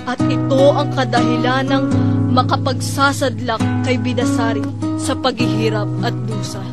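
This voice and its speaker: Woman as a higher-voiced storytelling grandmother, guitar behind. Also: lightly acted. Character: grandmother storyteller telling an old epic. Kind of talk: scripted storybook narration.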